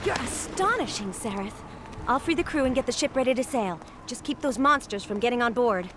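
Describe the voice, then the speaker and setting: Young noblewoman ally female actor, fantasy game: earnest, gentle, anxious, highish voice with lively intonation. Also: fairly quick, over music, close to an everyday voice. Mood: admiring, urgent. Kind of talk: dramatic fantasy game acting.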